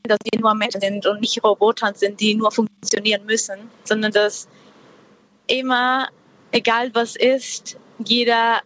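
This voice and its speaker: A young woman from Peru, speaking German in a relaxed interview over a video call, reflective and hesitant, with many pauses and fillers. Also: a little high. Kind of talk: casual conversation. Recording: dull lo-fi video call audio.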